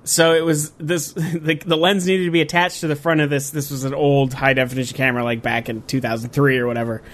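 A man's voice: on the high side, level tone, fairly quick, a bit bright. A man in his thirties co-hosting a casual podcast, rambling with restarts and fillers and swearing now and then. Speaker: podcast co-host. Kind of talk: casual chat.

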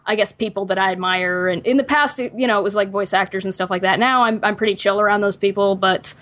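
Young woman on a podcast, lots of um and like, mid pitch that jumps up when she's into it. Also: quick pace. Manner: hesitant with fillers, pitch jumps up when excited. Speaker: podcast co-host. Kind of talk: casual chat.

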